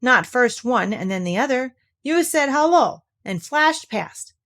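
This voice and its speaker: A woman acts out a mournful old male donkey from a children's storybook. He complains in formal, ponderous phrases, full of wounded sarcasm. The pitch moves up and down a great deal. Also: expressive, a little slow, a bit bright, heavily acted. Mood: aggrieved. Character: gloomy, self-pitying old male donkey, sarcastically aggrieved. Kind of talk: children's storybook read aloud.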